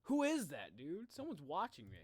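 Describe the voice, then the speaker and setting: A male streamer with a slightly high voice talks casually to his viewers. He thinks out loud in loose, slangy phrases as he reacts, and the sound is dry, with little room on it. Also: huge pitch swings, moderate pace, dry recording. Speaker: streamer, video host. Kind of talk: casual livestream reaction chat.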